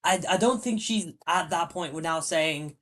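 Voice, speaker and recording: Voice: mid-range voice, normal speed, a bit bright. A podcast co-host chatting casually about a film, rambling and stopping to search for words aloud, with the odd casual swear.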